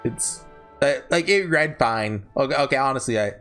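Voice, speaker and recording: A man hosting a casual, unscripted ranking video, talking in a rambling, halting way and often correcting himself. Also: medium pitch, animated, a bit bright, soft background music. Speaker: video host ranking visual novels. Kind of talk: casual unscripted tier-list commentary.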